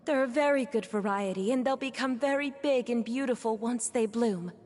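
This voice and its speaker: Earnest, grateful game villager wife, acted by a woman; slightly high, courteous, light background noise. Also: normal speed, darkish tone, close to an everyday voice. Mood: grateful, polite. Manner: polite, earnest. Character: kindly, grateful townswoman, polite wife. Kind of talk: video game voice acting.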